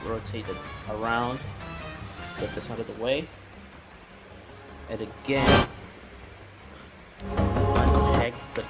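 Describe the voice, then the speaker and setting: A man narrating a hands-on toy review in a halting way, often repeating his words. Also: mid-range voice.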